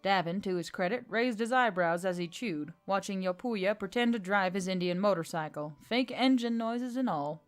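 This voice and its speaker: A woman with a medium-pitched voice narrates scripted fiction. She reads as a storyteller, with faint music running underneath. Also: normal speed, few pauses.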